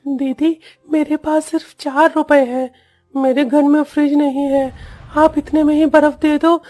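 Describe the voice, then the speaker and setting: A woman's voice: sits high, flat delivery, measured pace, mellow. A woman voicing a poor young child who pleads politely in a cartoon story. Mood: pleading.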